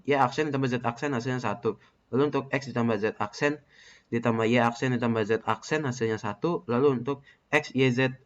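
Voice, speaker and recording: A man's voice: medium pitch, a bit bright. Young guy droning through logic tables, kinda muffled lo-fi audio, plain mid voice. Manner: methodical, repetitive, with hesitant fillers. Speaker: student presenting a case study. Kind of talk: reading out truth-table steps. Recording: muffled lo-fi recording.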